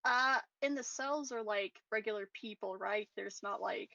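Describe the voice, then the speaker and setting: A woman's voice: mid-range voice, measured pace, creaky, sharp tone, no room sound, muffled recording. A woman playing a tabletop roleplaying game, talking casually through her choices.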